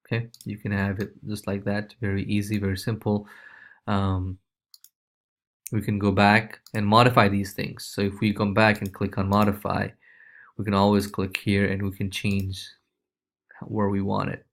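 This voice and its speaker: Casting: male tutorial presenter, lowish voice, informal and loose, walking viewers through on-screen steps. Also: completely flat delivery, normal speed, fairly bright tone. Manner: informal, loose, repeats check-in tags like okay and right. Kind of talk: casual screencast walkthrough.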